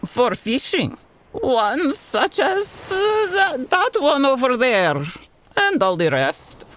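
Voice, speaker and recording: Casting: actress as old Cuban waterfront señora, accented, unhurried, extremely animated, world-weary, audio drama, faint ambience behind. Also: female voice, high-pitched, a little hiss or hum, exaggerated voice. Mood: deliberate. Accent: Cuban Spanish. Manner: slow, dramatic, formal phrasing. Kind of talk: comedy audio drama acting.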